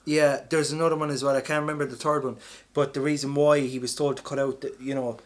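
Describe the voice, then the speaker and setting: A man's voice: medium pitch, level tone, fast talker, a little hiss or hum. A man co-hosting a podcast, chatting about films in a colloquial, chummy, sweary way. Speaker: podcast co-host. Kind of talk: casual film chat among friends.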